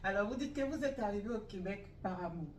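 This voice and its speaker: A woman hosts an interview podcast in a friendly, encouraging way. Her voice sits at a middle pitch and echoes around a roomy space. It is picked up from a distance with faint background noise. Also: lively intonation, fast, darkish tone. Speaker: host.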